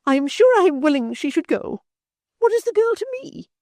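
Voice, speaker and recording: A man voicing an aged, palsied mother in a storybook reading, shrill, whining and self-pitying. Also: sing-song, brisk, mellow, dry recording, clean studio sound. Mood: hasty. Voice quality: shrieking, whining. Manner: querulous and theatrical. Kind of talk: audiobook character voice.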